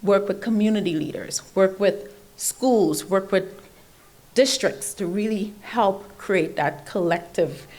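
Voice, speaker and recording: A woman from Trinidad with a Trinidadian accent, giving an animated motivational speech at an event, building emphatic lists and laughing often. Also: mid-range voice, slow pace. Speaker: keynote speaker. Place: event stage.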